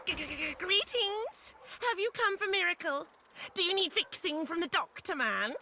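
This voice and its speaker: A tiny hyperactive game squirrel, extremely high and piercingly bright. It stutters and repeats itself in a frantic rush, with faint noise behind. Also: female voice, lively intonation, measured pace, exaggerated voice. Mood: manic, frantic. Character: hyperactive, manic talking squirrel. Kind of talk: video game character acting.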